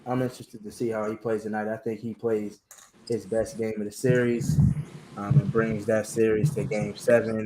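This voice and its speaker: Low-voiced man hosting a faith sports chat. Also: heavy background noise. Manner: halting, many fillers and restarts. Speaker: podcast host.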